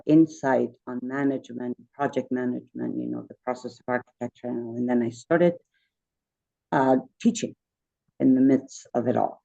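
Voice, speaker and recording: A woman with a dark, mellow and gravelly voice introduces herself over a video call. The line sounds muffled and dull. She talks in a friendly way, drifts from point to point and keeps correcting herself. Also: very deep voice. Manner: friendly, rambling, self-correcting. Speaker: architect and educator. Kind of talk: self-introduction in an online meeting.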